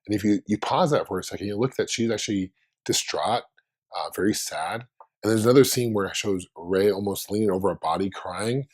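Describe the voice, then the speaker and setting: A man with a hoarse voice from being under the weather, hosting his own fan video and thinking aloud in a rambling, casual way with many restarts. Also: lowish voice, a little fast, a little mellow.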